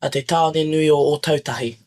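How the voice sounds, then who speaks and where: Young man, measured and even-toned. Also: a little high. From New Zealand. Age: young adult. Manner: measured, hesitant, sincere. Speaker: youth guest. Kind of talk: reflective interview answers.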